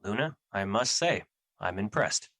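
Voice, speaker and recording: A man's voice: lowish voice, expressive, unhurried, fairly bright tone, no echo, studio quality, heavily acted. A man playing a gloomy, self-doubting embodiment of fear in an audio drama, speaking with formal, solemn, theatrical phrasing. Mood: formal, urgent.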